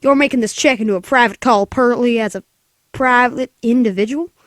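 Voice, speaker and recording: A man voicing a polite but unhelpful female telephone operator in an old radio play, formal and measured. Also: extremely high pitch, a bit bright, dry recording, studio quality. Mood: officious. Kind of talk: 1940s radio play acting.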